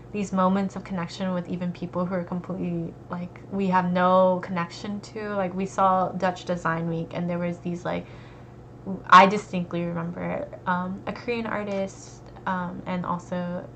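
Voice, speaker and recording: A young American woman, an art student, talking casually and relaxed, with lots of fillers. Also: on the low side. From United States. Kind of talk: casual conversation. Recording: dull lo-fi recording with faint noise.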